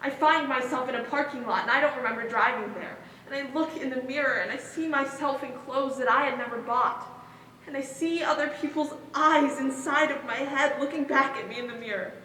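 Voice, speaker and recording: An actress plays a troubled woman in a stage play, bewildered and reflective. Her high voice moves through the monologue phrase by measured phrase. It is heard from afar in an echoing hall with slight noise. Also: pushed and bright. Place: stage in a large hall, distant mic. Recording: echoey hall from far off, noisy.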